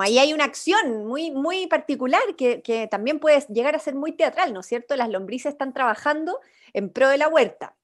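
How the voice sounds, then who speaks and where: A young Chilean woman speaks in a high voice as she runs an informal online workshop. She is encouraging and inviting, guiding the group through a video-call microphone. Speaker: workshop facilitator. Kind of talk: informal guided workshop talk. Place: indoor room, on a video call. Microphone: video-call computer microphone.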